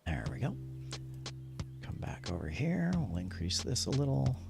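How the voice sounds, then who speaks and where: Animated man with a gravelly, lowish voice. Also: moderate pace, music in the background. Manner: upbeat, chatty, muttering to himself while working. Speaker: livestreamer and host. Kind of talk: casual gaming livestream chat while setting up.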